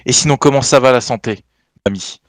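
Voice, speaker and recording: A man's voice: medium pitch, fairly quick, no room sound. A man playing a tabletop role-play in character, stammering often as he asks probing questions. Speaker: player.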